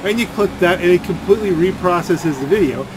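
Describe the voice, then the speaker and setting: Casting: male gaming creator, slightly high voice, rambling complaint-style commentary with repeated words, noisy gameplay behind. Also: a little fast. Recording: game and engine noise behind voice.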